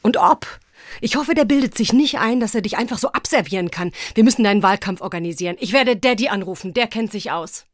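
Female, playing a lawman's loyal, outspoken wife for radio drama: medium pitch, emphatic, direct, close-recorded. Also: fast talker, no echo, lightly acted. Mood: indignant, determined. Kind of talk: audio drama dialogue.

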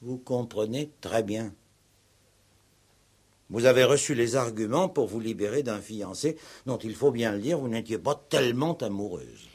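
An actor playing a probing, insistent, ironic police commissioner in a 1960s French radio play. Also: male voice, medium pitch, expressive, measured pace, a little mellow, breathy edge. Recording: old 1960s radio recording.

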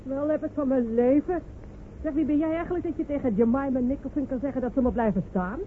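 Actress as a brash, high-voiced village woman, tinny radio. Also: quick pace, lightly acted. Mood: brash, indignant. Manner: bossy, blustering, earthy speech. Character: bold, brash village woman searching for her missing John. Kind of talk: radio play acting. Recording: noisy, phone-like old radio recording.

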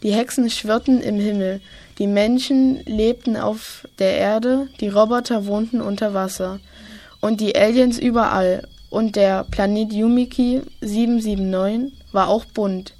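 A woman's voice: mid-range voice, darkish tone. A woman reading scripted narration as the storyteller of a children's audio play.

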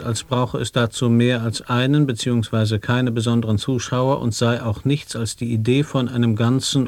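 An actor in a 1980s radio play, reading dense instructions in a solemn, instructional manner. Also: male voice, mid-range voice, a little mellow, no echo, lightly acted. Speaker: voice in a sound-poetry radio play. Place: dry radio studio.

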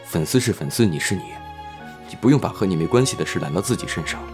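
A man's voice: deep voice, expressive, fast, over music. A man playing a caring, level-headed, protective colleague in an audio drama. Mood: firm, comforting.

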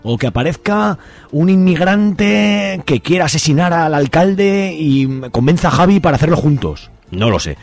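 A man's voice: on the high side, very animated, moderate pace, vocal fry. Chatty Spanish man hosting radio. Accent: Spanish, from Spain. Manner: chatty, colloquial, joking. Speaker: radio show host. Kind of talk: casual, playful radio presenting.

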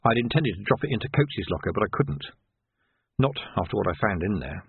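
A man voicing a shrewd, outwardly deferential police sergeant in an audiobook, measured and politely coaxing. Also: fairly low pitch, sing-song, gravelly, breathy edge, no room sound, exaggerated voice. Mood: wry, regretful. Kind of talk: audiobook character voice. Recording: narrow, phone-like band.

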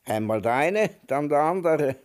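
A man's voice: a little high, expressive, moderate pace, darkish tone, close to an everyday voice. An actor playing a querulous, ranting old man in a radio play, declaiming with an Austrian accent and swinging from mutters to outbursts. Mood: stubborn.

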